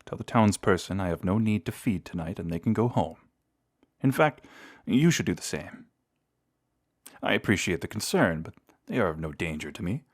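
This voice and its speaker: A man voicing a proud, seductive vampire lord in a scripted audio roleplay, speaking in a theatrical, deliberate and intimate way. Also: low-pitched, lively intonation. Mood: soft, tender. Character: seductive, menacing vampire lord, proud and secretly tender toward lost love.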